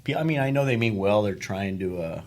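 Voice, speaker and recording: A man's voice: on the low side, animated, brisk. A middle-aged man from Argentina, a lead guitarist, chatting casually and rambling with many restarts. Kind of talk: casual chat.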